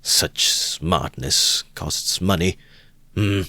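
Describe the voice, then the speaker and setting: A man's voice: low voice, very slow, creaky, bright, forceful tone, dry recording, full character voice. A man voicing a bitter young man muttering sardonically to himself. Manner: sardonic, laughs malignantly.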